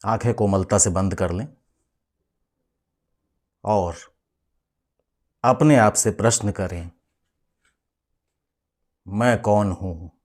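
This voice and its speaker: A man leading a guided meditation as a spiritual teacher, speaking in a measured, instructive way with deliberate pauses. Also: fairly low pitch, darkish tone.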